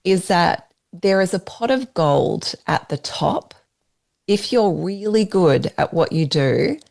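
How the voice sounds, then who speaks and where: An Australian woman leading a business workshop, giving an emphatic, persuasive pitch while presenting slides. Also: gravelly, a little mellow.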